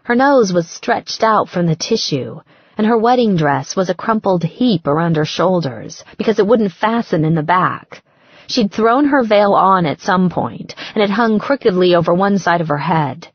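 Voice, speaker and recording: A woman narrating a romance audiobook in an expressive, measured storytelling manner. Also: on the low side, slightly rough, no echo, highs cut off. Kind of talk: scripted romance fiction narration.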